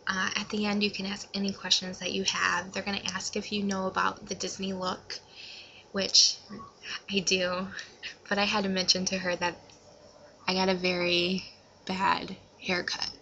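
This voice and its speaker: A woman's voice: mid-range voice, monotone, normal speed, gravelly, bright, forceful tone, highs cut off, slight background noise. A young adult woman giving casual advice in a vlog, talking in an informal, rambling and slightly self-conscious way. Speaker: vlogger.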